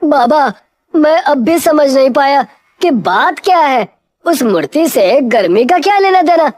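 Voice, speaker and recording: A woman acts out an earnest village man in a children's cartoon. The voice is pushed up high, urgent and questioning, with simple puzzled sincerity. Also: expressive, a little slow, a little mellow, no room sound. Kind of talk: cartoon moral story acting.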